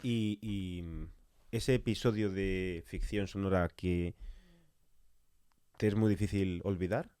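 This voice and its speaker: Deep voiced guy w hosting a podcast interview, chatty questions. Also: fairly even intonation, takes it slowly, long pauses, dark tone, clear, resonant tone. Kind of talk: conversational interview.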